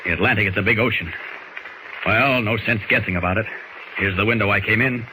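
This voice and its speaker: A man's voice: lowish voice, brisk, lightly acted. An American man playing a resolute superhero in a 1940s radio serial, thinking aloud in a clipped, declamatory way. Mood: determined. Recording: noisy old 1940s radio recording.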